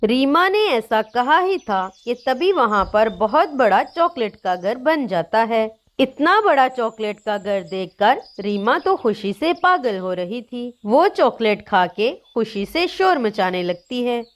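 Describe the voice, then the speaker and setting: A woman's voice: a little high, sing-song, a little slow, hardly any pauses. A woman narrating a scripted children's story.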